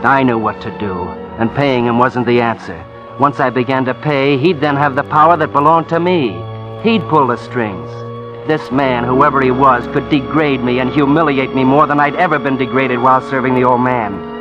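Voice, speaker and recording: A man's voice: mid-range voice, moderate pace, over music, close to an everyday voice. An actor playing a scheming man who framed his wife, narrating coolly and broodingly in 1940s radio acting on an old dull recording.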